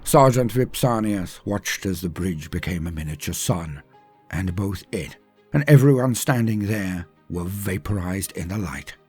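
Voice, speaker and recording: A man's voice: sits low, expressive, measured pace, darkish tone, breathy edge. A man reading a scripted story as a dramatic narrator, with theatrical, emphatic phrasing that drops away at line ends. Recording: music under the voice.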